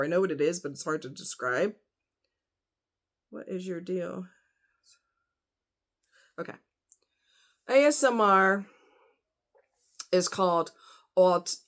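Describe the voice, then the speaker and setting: A woman vlogger chatting casually to her audience in a rambling, conversational way with frequent asides. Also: fairly low pitch. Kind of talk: casual chat to camera.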